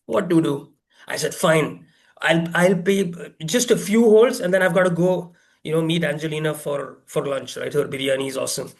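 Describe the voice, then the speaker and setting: A man giving a humorous, exaggerated storytelling speech, theatrically acting out dialogue and putting rhetorical questions to listeners. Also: a little high. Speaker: workshop speaker telling a tall tale.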